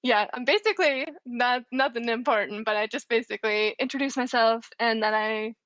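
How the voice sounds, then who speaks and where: A young woman whose first language is German talks as a podcast guest, chatty and quick to laugh. Her voice sits fairly high and sounds very bright. The recording is dry and close. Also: no echo, lo-fi sound. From Canada. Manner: laughing, chatty, restarts often. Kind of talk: casual chat.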